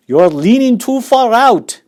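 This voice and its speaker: A man's voice: a little high, big pitch swings, normal speed, darkish tone, voice pushed up. A man voicing a pompous, huffy father in a storybook reading. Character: stuffy self-important father in his best suit.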